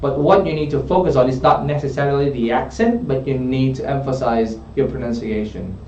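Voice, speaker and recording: A man's voice: medium pitch, a little fast, warm tone, a little hiss or hum. A man working as an English tutor, coaching a student in a studio and often checking in with "okay?". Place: studio room. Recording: roomy, distant, dull studio sound.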